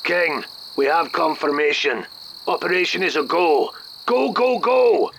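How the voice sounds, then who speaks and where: A man voicing a curt male commander who barks clipped, forceful orders. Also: a little high, normal speed, vocal fry, a little hiss or hum, pitched above the performer's own voice. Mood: urgent.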